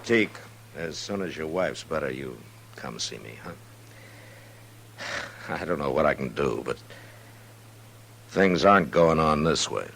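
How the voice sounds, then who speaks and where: Very deep-voiced Old West marshal. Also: male voice, animated, vocal fry, fairly bright tone, full character voice. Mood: firm, grim. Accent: American. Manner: measured, laconic, plain-spoken. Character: steady, plain-spoken adult male Old West US marshal. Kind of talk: old-time radio western acting. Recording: old radio recording with hum and hiss.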